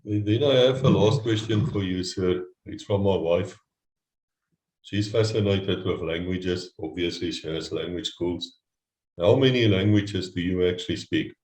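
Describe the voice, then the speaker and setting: A man's voice: sits low, a little slow, reverberant, rough recording. A man hosting an interview, speaking earnestly and thanking his guest. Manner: earnest, warm praise of guest. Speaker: host. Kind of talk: casual interview talk.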